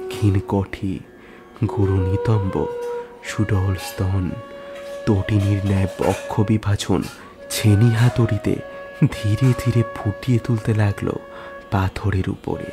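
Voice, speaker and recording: A man reads a literary tale as an audio story narrator. His voice sits fairly low and he takes it very slowly, setting phrases apart with solemn, deliberate pauses. Instrumental music plays clearly behind him. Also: little pitch movement. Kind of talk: scripted dramatic literary storytelling. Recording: music under the voice.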